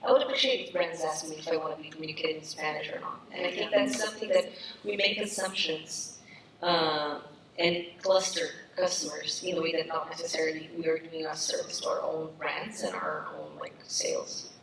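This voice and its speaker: Woman, Brazilian accent, lowish voice, earnest panel talk, echoey hall, distant mic. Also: animated, a little fast, noisy background. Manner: earnest, many restarts and fillers. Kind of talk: panel discussion. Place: stage at a summit.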